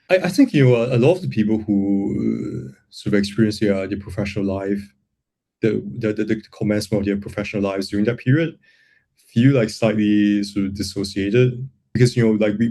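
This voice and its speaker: A young man from Singapore, a lawyer and software builder, explaining his views in a casual interview, with many restarts and repeated words. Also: on the low side. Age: young adult.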